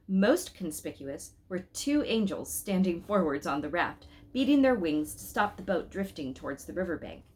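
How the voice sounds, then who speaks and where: A woman reading a children's story aloud expressively, pausing to speak directly to her listeners with questions and asides. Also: on the low side.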